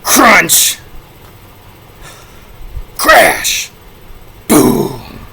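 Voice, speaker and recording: A man's voice: sits high, huge pitch swings, very slow, gravelly, crowd noise, pitched above the performer's own voice, full character voice. A man playing an over-dramatic, hammy reader of comic-book sound effects in explosive exclamations. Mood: bombastic, explosive.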